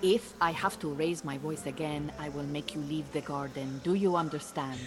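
A woman playing a firm, measured beekeeping teacher in an audio drama, giving instructions. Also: low-pitched, steady tone, natural voice. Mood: stern.

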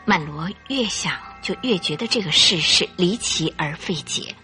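Female narrator for radio drama, lively swinging intonation, bright tone, suspenseful delivery, music underneath. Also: on the low side, normal speed. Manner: theatrical, suspenseful, clearly articulated.

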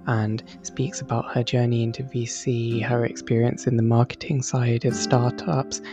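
A woman's voice: a bass voice, creaky. A woman hosting a podcast, reading a scripted introduction and putting questions to a guest. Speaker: podcast host.

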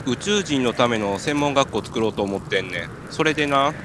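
A man's voice: mid-range voice, lively intonation, brisk, close to an everyday voice. A man with a Kansai accent playing a hungry, freezing, silly stranded climber in an amateur comic radio drama, with absurd earnestness. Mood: dreamy. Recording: noisy amateur recording.

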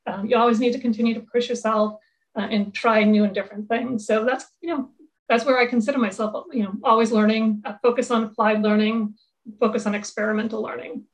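A middle-aged woman being interviewed about her career. Her voice sits a little high, and she talks in an earnest, conversational way, circling back and restarting her thoughts as she goes. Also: fairly even intonation, a little fast, rough recording. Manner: earnest, with many fillers and restarts. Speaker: interview guest, tech executive. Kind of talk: casual interview chat.

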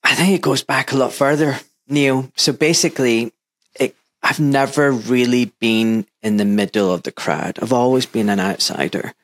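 A man's voice: medium pitch, normal speed. A man in his fifties from Glasgow with a mild Scottish accent, a surgeon telling his story earnestly and emphatically as an interview guest. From Glasgow, Scotland. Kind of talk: candid interview talk.

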